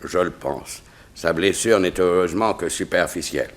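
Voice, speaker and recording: An actor playing a dignified royal chamberlain in a 1960s French radio drama, speaking formally and precisely. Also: male voice, deep voice, moderate pace, lightly acted. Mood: grave, formal.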